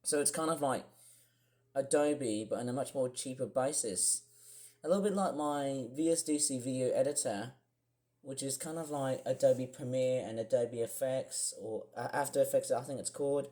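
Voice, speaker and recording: Young man, croaky voice, rambling vlogger. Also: mid-range voice, moderate pace, dark tone. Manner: rambling, many fillers and restarts. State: croaky, clearing throat. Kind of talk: casual screen-share vlog.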